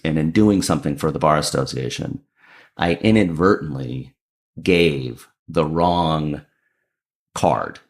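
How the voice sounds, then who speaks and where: Deep-voiced man telling a story. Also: takes it slowly, creaky. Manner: animated, self-deprecating storyteller. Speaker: comedian and lawyer, podcast guest. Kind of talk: casual storytelling.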